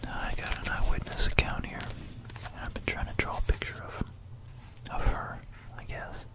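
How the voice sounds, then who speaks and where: An ASMR host narrating an educational piece in a hushed, breathy, unvoiced whisper, unhurried and conspiratorial, close to a stereo microphone. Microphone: close stereo binaural microphone.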